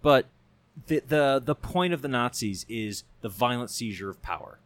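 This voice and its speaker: A man on a talk show who owns a bookstore, talking politics casually in animated bursts with many restarts. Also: mid-range voice, normal speed, dry recording. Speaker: show regular and bookstore owner.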